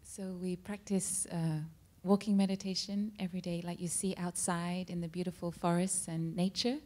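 A man, a monk, calmly answering audience questions at a cinema Q&A, unhurried and with frequent pauses and fillers. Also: high-pitched. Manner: calm, unhurried, with many ums and uhs. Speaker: monk at a practice center. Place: cinema stage at a Q&A. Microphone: handheld mic.

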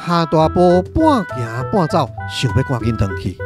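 A man's voice: a little high, big pitch swings, a little slow, music in the background. A man with a Taiwanese accent narrating a literary radio drama from a script in a dramatic style.

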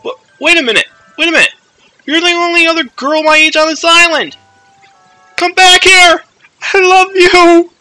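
A man's voice: very high voice, steady tone, normal speed, pushed and bright, soft background music, voice pushed up, exaggerated voice, low-quality recording. A man voicing a boastful, panicky young boy hero in a comedic video game dub, exclaiming and narrating himself dramatically. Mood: desperate.

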